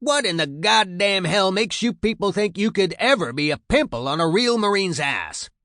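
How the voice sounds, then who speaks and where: Drill sergeant, acted by a male narrator: gruff, loud, raised pitch, foul-mouthed, contemptuous, berating recruits in a memoir reading. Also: animated, moderate pace, dry recording, studio quality. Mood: disgusted, contemptuous. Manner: barking, berating commands.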